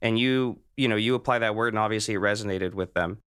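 A man's voice: on the low side, fast talker, a bit bright, clear, resonant tone. A man hosting a casual interview, talking in a discursive way and rephrasing his questions as he goes. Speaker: podcast host, interviewer.

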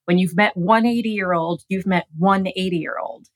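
A woman speaking as an expert guest on a radio interview, explaining ideas in an articulate, measured way. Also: on the low side, fairly even intonation, no echo. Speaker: gerontologist guest.

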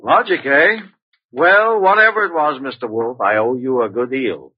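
An actor playing a genteel millionaire uncle disguised as a tramp in a vintage radio mystery, speaking theatrically. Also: male voice, medium pitch, normal speed, breathy edge, dry recording, lightly acted. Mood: pleased, grateful. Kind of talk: 1940s-50s radio mystery acting. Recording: dull vintage radio recording.

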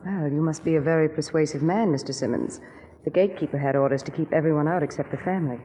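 An actress playing an anxious, guilt-ridden young widow in a 1940s radio drama, earnest and restrained. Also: female voice, deep voice, fast, mellow, close to an everyday voice, low-quality recording. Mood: composed. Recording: vintage radio with faint noise.

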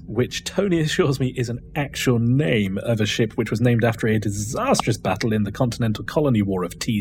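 A man's voice: mid-range voice, a little mellow. Wry podcast man reading news. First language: not Chinese. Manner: wry, sarcastic jokes. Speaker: podcast co-host. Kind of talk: scripted news reading with joking asides.

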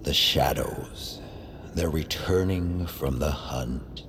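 An actor playing a menacing, domineering master of sorcerers in an audio drama, sardonic and commanding. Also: male voice, a bass voice, takes it slowly. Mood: hushed menace. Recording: noisy ambience behind.

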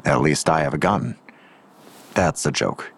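A man's voice: extremely low pitch, lively intonation, unhurried, breathy edge, heavily acted. An actor playing a blunt, world-weary father in an audio drama, dry and clipped in delivery. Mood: dry, resigned.

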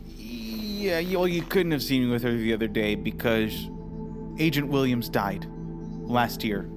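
A flustered, evasive investigator in a naturalistic audio drama. The delivery is halting and stammering, with repeated phrases and a defensive edge. Music plays clearly behind the voice. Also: mid-range voice, expressive.

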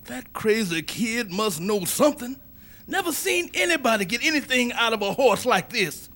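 A gruff, scoffing horse owner. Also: male voice, very high-pitched, very animated, normal speed, pushed and bright, full character voice. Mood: grudging. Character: gruff skeptical middle-aged male racehorse owner. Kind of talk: 1940s radio acting.

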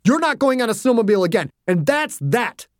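A narrator voicing an angry, protective father with a hot temper, shouting and cursing in an audiobook story. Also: medium pitch, very animated, a little fast, no echo, studio quality, pitched above the performer's own voice, natural voice. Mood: stern.

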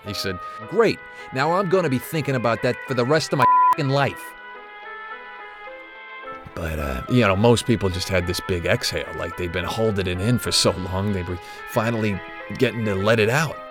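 An actor playing an older, guilt-ridden retired corporate executive who confides haltingly, as in an audio drama interview. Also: male voice, lowish voice, lightly acted. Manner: hesitant, confessional, halting.

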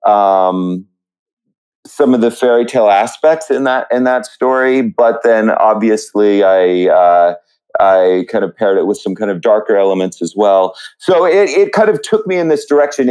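A man answering as an interview guest, reflective and hesitant, often halting as he thinks. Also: mid-range voice, a little mellow, smooth, poor audio. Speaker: interview guest, musical book writer.

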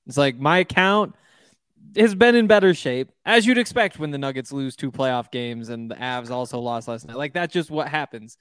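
A man's voice: mid-range voice, moderate pace, a bit bright, smooth, no room sound, clean studio sound. A man from Montana co-hosting a casual sports betting chat over a video call, wry and self-deprecating as he rambles about his teams. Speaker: podcast co-host.

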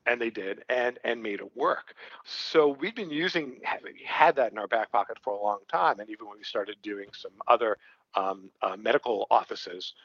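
A man's voice: on the low side, normal speed, a bit bright, no echo, lo-fi sound. A man from Brooklyn with a Brooklyn accent, a company co-founder explaining his work in a casual interview, full of fillers and restarts. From Brooklyn, New York. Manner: rambling, lots of fillers and restarts.

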